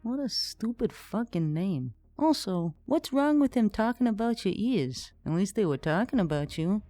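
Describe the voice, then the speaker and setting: A woman's voice: medium pitch, animated, normal speed, warm tone, no echo, faint music behind, close to an everyday voice. A woman voicing a brash, foul-mouthed, sardonic nonhuman young woman in an audiobook, blunt and sarcastic. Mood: sardonic, annoyed. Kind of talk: audiobook character acting.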